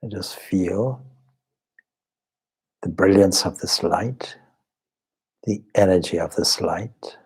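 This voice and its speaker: A man leading a guided meditation as a teacher, speaking in measured, deliberate short phrases with pauses and a soft, husky voice. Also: deep voice. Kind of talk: guided meditation, slow spoken instruction.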